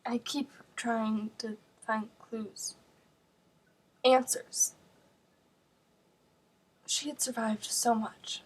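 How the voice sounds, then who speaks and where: A woman performing a dramatic stage monologue as a bewildered young student mourning her friend, halting and searching with heavy pauses. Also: a little high, fairly even intonation, breathy edge, full character voice. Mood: grieving, searching. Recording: distant mic.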